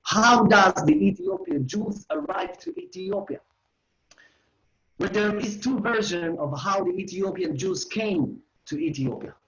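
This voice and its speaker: A man's voice: high voice, a bit bright. A man in his forties with an Israeli accent, giving an online history lecture in simple phrasing addressed to his listeners. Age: 40s. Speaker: educational tour guide giving a lecture. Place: living room at home. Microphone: video call. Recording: roomy, slightly dull video-call audio.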